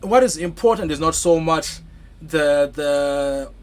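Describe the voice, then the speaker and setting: A man in his thirties from Zimbabwe, an author answering interview questions in a halting, thoughtful way with many restarts. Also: a little high, lively intonation. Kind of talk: conversational interview.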